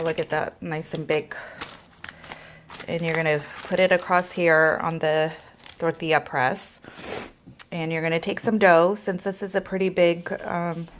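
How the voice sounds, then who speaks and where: A woman with a cold walks through a home cooking tutorial in a somewhat low, raspy voice. She gives relaxed step-by-step instructions with plenty of ums. Faint background noise sits under her voice. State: hoarse with a cold. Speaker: home cook.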